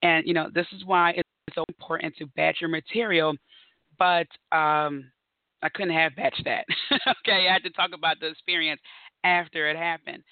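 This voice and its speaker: A woman hosting her own podcast, her voice raspy and hoarse as she recovers from bronchitis, talking in a chatty, upbeat way with frequent laughs. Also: low voice, steady tone, moderate pace, dry recording, phone-quality sound. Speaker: podcast host. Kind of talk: solo podcast monologue.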